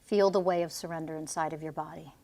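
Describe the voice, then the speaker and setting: A woman's voice: sits low, fairly even intonation, fast talker, a little mellow. A woman energy healer guiding a client through a healing session, commanding and intense, with blunt swearing.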